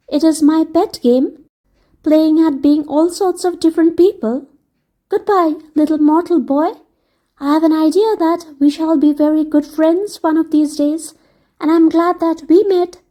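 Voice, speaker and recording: A woman's voice: very high-pitched, unhurried, dark tone, pitched above the performer's own voice. A woman reading a storybook aloud, voicing a merry, playful fairy lady who speaks in a light, laughing way.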